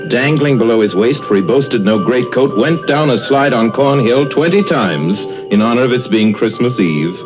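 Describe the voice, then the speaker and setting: A man narrating as a measured, dramatic storyteller in a 1930s-style radio drama, on a muffled old recording. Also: mid-range voice, lively intonation, music in the background, lightly acted.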